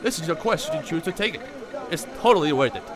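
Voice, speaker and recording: A man's voice: on the high side, lively intonation, quick pace, crowd noise, lightly acted. A man playing a pompous, vain, slightly dim young hero on a quest in a comic audio drama. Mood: flustered. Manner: pompous, self-important.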